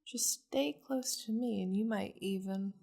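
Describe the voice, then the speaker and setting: Demon noblewoman played by a woman for ASMR roleplay: slow, commanding delivery, possessive and flirtatious, with teasing, haughty endearments. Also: mid-range voice, vocal fry, warm tone. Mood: teasing, reassuring. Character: possessive, wealthy, flirtatious high-ranking demon lady.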